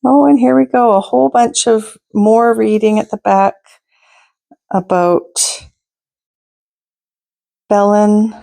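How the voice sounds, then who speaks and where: Slow, mellow woman reading a children's storybook. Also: medium pitch, vocal fry. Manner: deliberate, expressive, pauses to ask listeners questions. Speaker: storytime host reading a picture book. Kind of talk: read-aloud storytelling for children, with asides to listeners.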